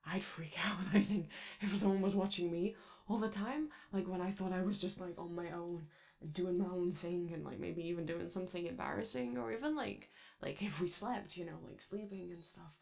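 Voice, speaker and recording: A woman's voice: on the low side, fairly even intonation, normal speed, vocal fry, a little mellow. A woman voicing an anxious, kind-hearted daycare robot in a hesitant, rambling, apologetic ASMR roleplay. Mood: shy, flustered. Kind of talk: ASMR character roleplay, soft conversational.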